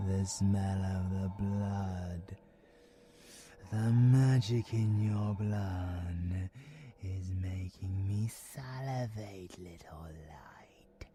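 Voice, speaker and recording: Very slow, menacing British vampiric monster. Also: male voice, lowish voice, mellow, full character voice. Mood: hungry, whispering. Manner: slow, theatrical, drawn-out phrasing with breathy whispers. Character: predatory male vampiric abomination, menacing, mocking, savoring. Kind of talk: villain ASMR audio roleplay.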